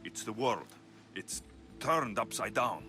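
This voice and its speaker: Earnest warrior, lively pitch. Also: male voice, slow. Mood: concerned, reassuring. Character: battle-hardened young male warrior, earnest, blunt. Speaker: video game character actor. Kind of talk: dramatic game cutscene acting. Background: background music.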